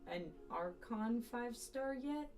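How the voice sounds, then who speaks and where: A woman's voice: on the high side, animated, slow. A woman chatting casually as she streams a video game. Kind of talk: casual gameplay stream chat, some dialogue read aloud. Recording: distant voice under loud game music. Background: loud video game music.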